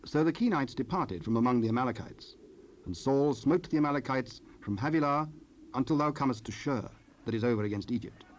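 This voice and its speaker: A man narrating scripture from a script in a solemn, measured manner. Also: mid-range voice, lively intonation. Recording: noisy cassette rip, ambient sound.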